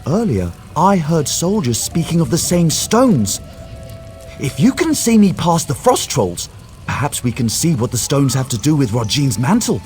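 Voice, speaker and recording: Scholarly game mage: cautious, formal, precise diction, raised pitch, faint music behind. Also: male voice, very animated, measured pace, a little mellow. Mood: eager, confident. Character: scholarly male mage and former priest, cautious, anxious. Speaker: quest-giving character. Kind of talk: video game dialogue.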